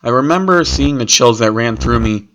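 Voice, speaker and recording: A man's voice: mid-range voice, animated, moderate pace. A man reading a scripted first-person horror story as its narrator. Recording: clearly noisy recording with clicks.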